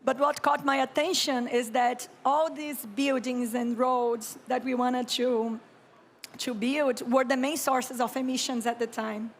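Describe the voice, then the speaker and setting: High-voiced Brazilian woman giving a calm keynote. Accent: Brazilian Portuguese. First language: Brazilian Portuguese. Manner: slow, calm and reflective, with frequent throat clearing. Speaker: conference speaker, architect and urban planner. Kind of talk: reflective keynote talk. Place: conference stage.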